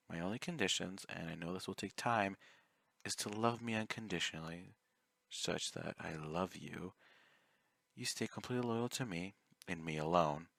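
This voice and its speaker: Low-voiced, obsessive yandere admirer played by a man. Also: gravelly, fairly bright tone, no room sound. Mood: coaxing, possessive. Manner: intimate, coaxing, courteous while threatening. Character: obsessive, clingy, soft-spoken young male yandere fan, politely menacing. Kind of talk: ASMR roleplay monologue.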